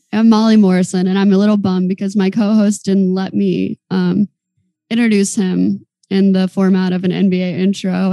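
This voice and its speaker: Young woman cohosting a podcast, giggly chatty, gravelly low-bright voice, dry sound. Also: no echo. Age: 20s. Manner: chatty, giggly, animated storytelling. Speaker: podcast co-host. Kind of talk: casual chat.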